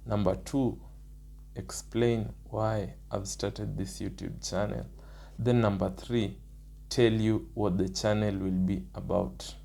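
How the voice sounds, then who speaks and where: A young man with a Kenyan accent, his voice rough from the early morning, talking through his points one by one in a casual vlog. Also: on the low side, fairly even intonation, slow pace, dark tone. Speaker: nursing student.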